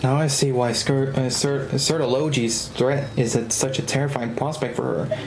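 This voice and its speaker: A man's voice: medium pitch, steady tone, normal speed, a little hiss or hum. A man streaming a game live, reacting casually with slangy, incredulous remarks. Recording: echoey, game music behind.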